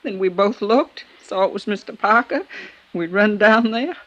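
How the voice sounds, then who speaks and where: An actress playing a loyal, wary, deferential American housekeeper in a 1950s radio drama, folksy and hesitant. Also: female voice, medium pitch, moderate pace, dry recording, lo-fi sound, natural voice. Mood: sorrowful.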